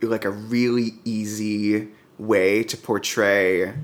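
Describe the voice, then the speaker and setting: A man's voice: lowish voice, steady tone, slow. A man speaks as a guest on a casual TV podcast. His delivery is informal and conversational, peppered with filler words as he works through his opinions. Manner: informal, punctuates thoughts with "like" and "you know". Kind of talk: casual TV discussion chat.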